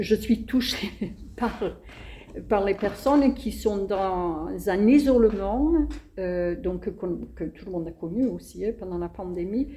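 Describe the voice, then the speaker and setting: A woman playwright with a non-native French accent, answering interview questions in a hesitant, thoughtful way with many pauses. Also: medium pitch, warm tone.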